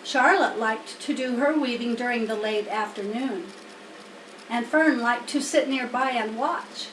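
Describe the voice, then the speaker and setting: A woman reading a children's storybook aloud as narrator, in a careful, deliberate way. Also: a little high, a bit bright. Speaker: audiobook reader. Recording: roomy, distant mic, faint noise.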